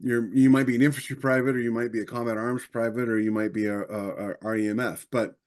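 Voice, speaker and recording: A man's voice: medium pitch, fairly even intonation, quick pace, darkish tone. A man chatting casually as a podcast panelist, conversational and repeating phrases for emphasis. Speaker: podcast panelist and science fiction author.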